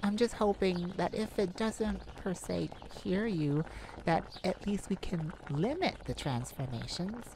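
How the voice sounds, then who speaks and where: Woman acting as a kind worrier mage, low-pitched female voice, stammering, unhurried, intimate ASMR roleplay. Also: lively intonation, background noise. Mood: gentle, hopeful. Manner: hesitant, stammering, soft-hearted worrier. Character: kind, anxious young mage fretting over a childhood friend. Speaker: audio roleplay voice actor. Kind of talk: ASMR audio roleplay, intimate one-sided conversation.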